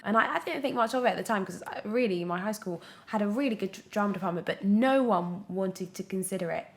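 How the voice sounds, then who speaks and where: A woman's voice: medium pitch, quick pace. A young British woman telling anecdotes casually in an interview, chatty and giggly, often acting out what was said. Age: young adult. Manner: chatty, animated storytelling with self-quotes and giggles. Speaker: actor, podcast guest.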